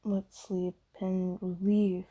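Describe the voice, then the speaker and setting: Woman voicing a very slow, dark-toned, breathy caring demon. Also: medium pitch, little pitch movement, dull audio. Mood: soothing, understanding. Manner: slow, hushed, soothing and reassuring, often slipping into whispers. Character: gentle, caring female sleep paralysis demon comforting someone. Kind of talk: soft ASMR roleplay monologue.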